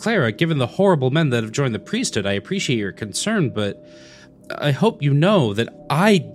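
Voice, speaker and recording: An actor playing a mild, earnest young priest in a comedic audio drama, polite and hesitant, trying to sound cool. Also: male voice, mid-range voice, very animated, moderate pace, soft background music, lightly acted. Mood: polite, uneasy. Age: young adult.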